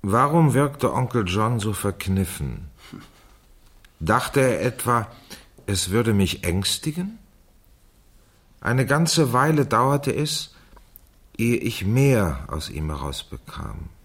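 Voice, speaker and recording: An actor playing a man who reads a diary aloud in a radio crime drama, deliberate and precise, with a husky voice. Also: lowish voice, sing-song, a bit bright, full character voice. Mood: measured, reflective. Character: measured man reading a girl's diary aloud.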